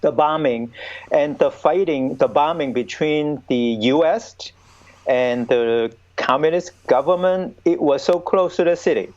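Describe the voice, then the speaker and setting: A man's voice: a little high, a little slow, darkish tone. A man in his fifties from Vietnam, speaking as an interview guest. He recounts his past hesitantly, with frequent restarts. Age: 50s. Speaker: Buddhist monk, guest. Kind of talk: conversational interview. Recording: dull lo-fi sound.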